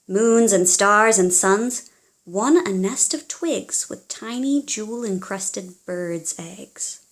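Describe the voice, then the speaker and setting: A woman reading a children's storybook aloud in a theatrical, expressive way, as if speaking directly to young listeners. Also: mid-range voice. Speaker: children's audiobook reader.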